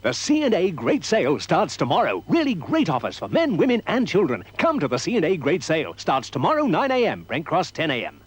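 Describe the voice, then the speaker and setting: A man announcing a retail sale in an excited hard-sell style. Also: a little high, a little fast, hardly any pauses, slightly rough.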